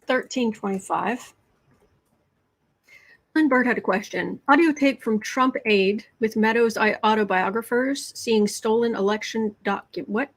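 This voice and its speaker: A woman with a fairly high voice hosts a casual tarot livestream, flipping through cards and answering her chat. She talks in a chatty, sarcastic way, restarts her sentences and stops now and then to clear her throat. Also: unhurried, rough recording. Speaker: host of a political tarot livestream.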